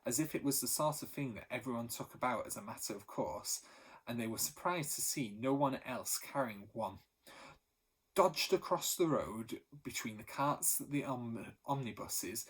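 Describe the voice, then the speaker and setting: Male teacher, husky voice, mid pitch, story read-aloud. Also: moderate pace, low-quality recording. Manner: steady, expressive reading, voice lifting for the characters. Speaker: teacher reading to pupils.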